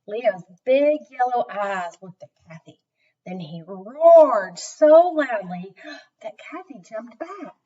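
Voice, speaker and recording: A woman reading a children's story aloud with expressive, deliberate emphasis. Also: a little high. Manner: slow, animated, deliberate emphasis. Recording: dull, distant microphone.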